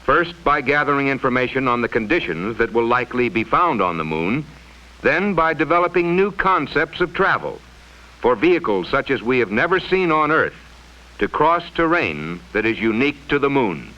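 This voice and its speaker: Bright-voiced man narrating vintage educational film. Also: mid-range voice, very animated. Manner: measured, formal, explanatory. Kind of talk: scripted mid-century educational film narration. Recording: dull vintage film soundtrack.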